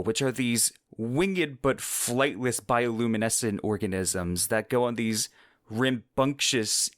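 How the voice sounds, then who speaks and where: A man's voice: medium pitch, fairly bright tone, no echo. A man with a mid-range voice hosts a podcast, recorded dry and close. He narrates descriptively and with expression, sounding enthusiastic about what he presents. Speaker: podcast host.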